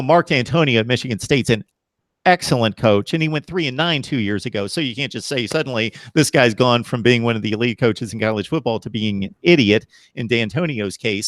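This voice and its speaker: A man hosting a college football podcast, talking in a measured, analytical way. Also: mid-range voice, no echo. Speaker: podcast host. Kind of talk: casual sports podcast chat.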